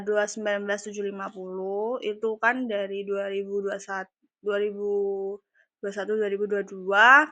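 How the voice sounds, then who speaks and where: A woman's voice: mid-range voice, a bit bright, no echo. A young woman, a student, walking through accounting calculations in a class presentation, talking hesitantly with fillers. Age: young adult.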